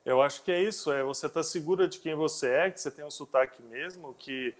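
A man hosting a casual interview, talking in a halting way with frequent restarts. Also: fairly high pitch. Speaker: interview host.

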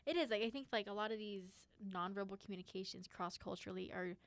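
A woman's voice: mid-range voice, quick pace, no echo. A young woman in college talking eagerly and earnestly in a casual podcast chat. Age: young adult. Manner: enthusiastic, talkative, earnest.

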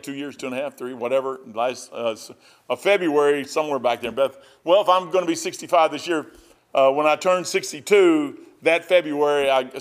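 A man's voice: fairly high pitch, a bit bright. A man, preaching a rambling, conversational sermon and often repeating himself. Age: 60s. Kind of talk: folksy sermon.

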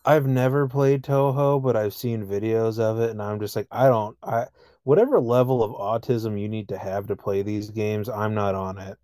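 A man's voice: fairly low pitch, fairly even intonation, normal speed, warm tone, no room sound, clean studio sound. A man co-hosting a casual gaming chat, complaining in a blunt, profane way. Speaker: podcast co-host. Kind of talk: casual video game chat.

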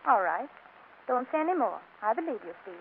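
High-voiced wounded wife, tinny old radio. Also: female voice, sing-song, a little fast, vocal fry, a little hiss or hum. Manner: wounded, pointed, dramatic. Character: hurt, proud young wife doubting her husband. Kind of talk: 1940s radio comedy acting. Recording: tinny 1940s radio recording.

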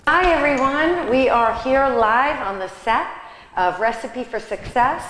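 A woman's voice: sits high, a little slow, fairly bright tone, faint music behind. Chatty woman host in an echoey hall. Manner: chatty, restarts and many fillers. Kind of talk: casual studio interview chat. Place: catering kitchen set. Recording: roomy hall with long echo.

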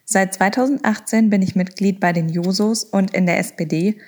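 A woman in her twenties giving a measured introduction of herself. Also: mid-range voice, fairly even intonation, a little mellow. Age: 20s. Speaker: party youth representative.

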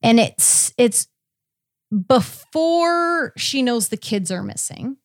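A woman's voice: a little high, lively intonation, slow, no room sound, clean studio sound. A woman hosting a podcast, chatting casually as she recaps a case and explains it step by step. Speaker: podcast host.

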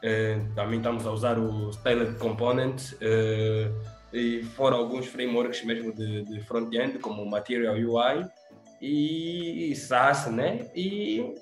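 A man's voice: mid-range voice, takes it slowly. A young man with an Angolan Portuguese accent, an intern talking casually and hesitantly about his job in an interview. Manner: relaxed, hesitant with fillers. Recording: echoey room, faint music.

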